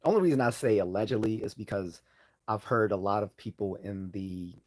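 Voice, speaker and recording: A man hosting a casual live Q&A, hoarse from losing his voice, rambling with many fillers and restarts as he answers chat questions. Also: fairly low pitch, a little fast, darkish tone.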